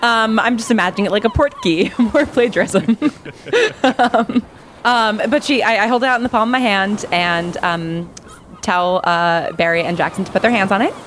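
A woman plays a tabletop game, narrating and speaking in character in a casual, improvised way. She is halting and self-amused, at a middling pitch. There is faint noise in the background. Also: fairly bright tone.

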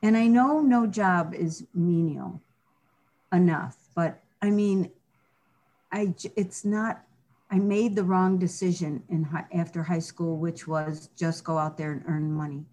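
Earnest low-voiced middle-aged woman. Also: fairly even intonation, unhurried, warm tone. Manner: earnest, reflective, with frequent restarts and "you know". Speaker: guest. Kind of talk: candid personal interview.

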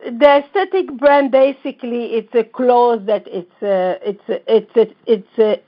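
A woman's voice: on the high side, a little slow. A woman from the Middle East, a fashion designer speaking from home on a call, talking in chatty, non-native English and often laughing. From Middle East, born in Lebanon, raised partly in Israel. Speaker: fashion designer and brand owner, guest. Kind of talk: casual interview chat. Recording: slightly muffled lo-fi audio.

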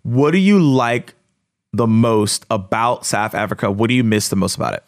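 A man's voice: medium pitch, normal speed, no room sound, clean studio sound. A man hosting an interview, asking questions in a friendly, encouraging way. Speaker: host. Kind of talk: conversational interview.

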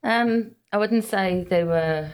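A middle-aged woman with a gentle, Welsh-influenced Liverpool accent, whose first language is Welsh. She talks softly as an interview guest, with short answers and plenty of small pauses. Her voice sits at a medium pitch. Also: smooth. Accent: Welsh-influenced Liverpool English. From Liverpool, England. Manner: soft-spoken, short replies with frequent hesitations. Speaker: podcast guest, midwife. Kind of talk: casual interview chat.

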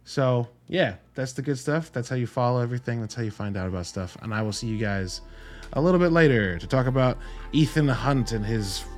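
A man's voice: mid-range voice, expressive, brisk, faint music behind. A man hosting a solo podcast in a casual, enthusiastic and emphatic way, with informal language and wry asides. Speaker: podcast host reviewing films.

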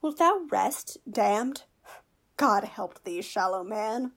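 A woman's voice: medium pitch, lively intonation, slow pace, a bit bright, exaggerated voice. A woman playing a witty, pompous male court fool who teases with mock logic in a Shakespearean radio play. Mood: scornful.

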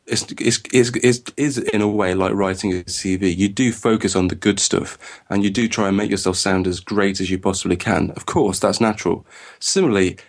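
A man co-hosting a podcast, chatting casually in a fairly low voice. He rambles and stammers over repeated words as he thinks aloud. His voice sounds a bit croaky. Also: steady tone, brisk. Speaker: podcast co-host.

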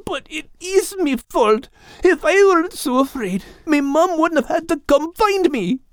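A man voicing a frightened, grieving young male puppy in an audiobook, with a plaintive, halting delivery. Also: very high voice, expressive, dry recording, voice pushed up. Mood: guilty, distraught. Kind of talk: audiobook character voice.

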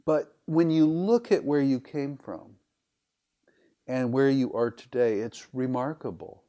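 A man hosting an interview, asking earnest, measured questions about a guest's background. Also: medium pitch, dark tone. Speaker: interviewer, business school representative. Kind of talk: conversational interview.

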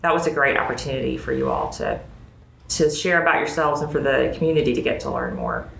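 A woman's voice: extremely low pitch, huge pitch swings, fast, fairly bright tone. An American woman hosting a conversational interview podcast as a school dean, recorded in an echoey room. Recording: roomy echo, clear background noise.

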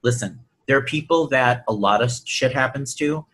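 A middle-aged man talks as a guest on a show, earnest and reflective. His voice is bright and a little husky. He circles back over his points as he thinks them through. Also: mid-range voice, moderate pace. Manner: earnest, reflective, repeats himself while he thinks. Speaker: singer and guest. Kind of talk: casual conversation.

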